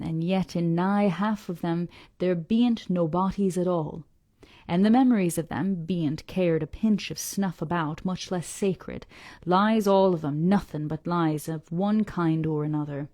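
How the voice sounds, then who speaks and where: Woman doing a gruff old fisherman. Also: lowish voice, mellow, dry recording, clean studio sound. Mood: scornful. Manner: slow, dragged-out dialect speech. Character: old male fisherman, gruff, scornful, preachy.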